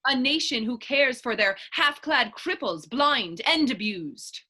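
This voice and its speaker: Woman acting Irish female idealist, high-pitched, very animated, emphatic, persuasive, fervent, period stage dialogue. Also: measured pace, exaggerated voice. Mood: impassioned, defiant. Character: fervent young Irish woman, political idealist, bold and teasing.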